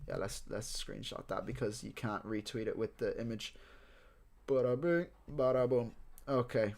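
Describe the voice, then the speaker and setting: A man's voice: mid-range voice, moderate pace, vocal fry, darkish tone. Casual Irish man hosting a livestream. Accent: neutral Irish. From Ireland and several countries abroad. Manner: informal, sweary, talking to viewers. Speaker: livestream host. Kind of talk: casual livestream chat reacting to team news.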